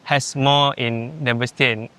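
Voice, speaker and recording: Hesitant man, bright and clear. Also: mid-range voice, fairly even intonation, moderate pace. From Malaysia. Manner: hesitant, plain spoken, many fillers. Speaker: interviewee. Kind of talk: street interview.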